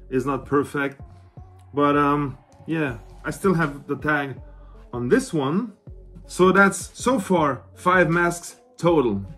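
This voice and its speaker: A man in his thirties speaking casually and excitedly to camera like an informal vlogger, with some swearing. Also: a little high. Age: 30s. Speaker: vlogger, horror collector. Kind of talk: casual show-and-tell review to camera. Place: small cluttered room indoors.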